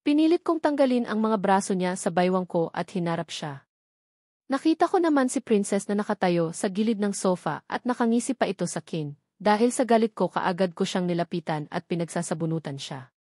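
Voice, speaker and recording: A woman narrates a romance novel in the first person, telling it as drama. Her voice sits at a medium pitch, and the recording is dry and close. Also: lively intonation, a little fast, a little mellow, no room sound. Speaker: audiobook narrator.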